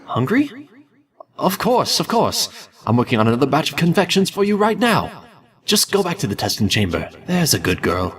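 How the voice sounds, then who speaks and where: A man playing an obsessive male candy maker recording his experiments in excited, theatrical journal narration. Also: on the high side, normal speed, far from the mic. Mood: coaxing. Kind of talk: fanfiction audiobook reading.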